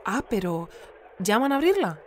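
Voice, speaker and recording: A woman's voice: medium pitch, sing-song, moderate pace, a little mellow, natural voice. A woman playing an earnest, easily flustered news bulletin presenter in an audio fiction. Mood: flustered, surprised. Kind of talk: fiction podcast news broadcast parody.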